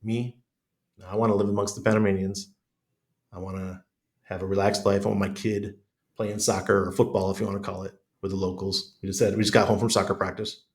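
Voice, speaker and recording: A man's voice: low-pitched, little pitch movement, a little fast. A middle-aged American man with chest congestion, chatting casually and bluntly as a podcast guest. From United States. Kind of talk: casual conversational interview.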